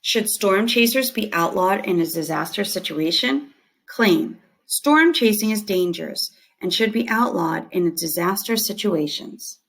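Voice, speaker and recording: Creaky-voiced woman reading a lesson aloud. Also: medium pitch, a little slow, fairly bright tone. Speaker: teacher. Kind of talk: read-aloud of scripted lesson text.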